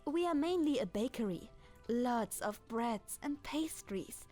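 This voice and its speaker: A woman acts out a kind old dragoness baker for an ASMR fantasy roleplay, speaking in a high, lilting voice with a German accent. She is unhurried and politely apologetic, with formal wording and small hesitations, as if gently guiding a newcomer. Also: lively intonation. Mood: cheerful instruction. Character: kind, clumsy, centuries-old dragoness baker with a hoard-free conscience. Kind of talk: ASMR roleplay, in-character monologue to the listener. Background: music.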